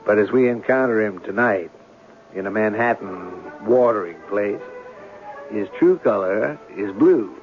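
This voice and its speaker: A man's voice: lowish voice, expressive, measured pace, soft background music. Folksy male storyteller, tinny radio. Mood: wry, genial. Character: wry, folksy, unhurried storyteller, a famous author. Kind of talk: radio drama narration. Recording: tinny old radio broadcast.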